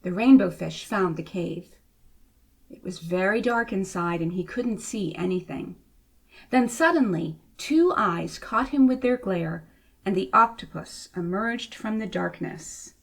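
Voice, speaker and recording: A woman reading to children as a storyteller, with expressive narration. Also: mid-range voice, measured pace, gravelly. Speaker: storybook reader.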